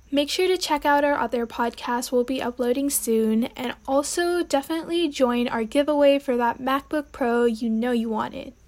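A woman's voice: sits high, darkish tone. A young woman hosting a student poetry podcast, reading her commentary in an earnest, read-aloud way. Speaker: podcast host.

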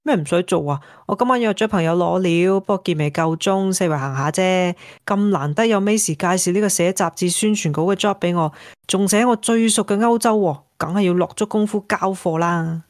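A woman's voice: fairly low pitch, fairly quick, a little mellow, no room sound, studio quality, lightly acted. An actress playing a breezy, teasing woman travel writer in a Cantonese radio drama, with a Hong Kong accent. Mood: breezy, chatty. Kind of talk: radio drama acting, phone conversation.

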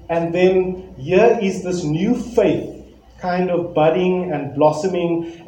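A male pastor introducing a sermon series and praying, earnest and reflective, pausing with fillers. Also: high-pitched, warm tone, slight background noise. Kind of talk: sermon and prayer. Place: church hall. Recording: very echoey church hall, faint ambience.

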